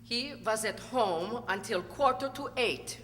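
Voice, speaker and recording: An actress as a cold, damning female witness answers tersely in a sharp, fairly high voice. The pace is deliberate and the radio-play sound rings in a hall. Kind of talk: radio drama acting. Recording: reverberant hall.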